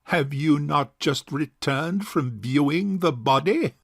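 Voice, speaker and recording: An actor playing a pompous, self-righteous rich old bachelor uncle in a theatrical period farce. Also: male voice, on the high side, gravelly, a bit bright, no room sound, clean studio sound, exaggerated voice. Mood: pompous, self-satisfied. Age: older. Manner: pompous, deliberate, orating. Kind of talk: theatrical period farce audio drama acting.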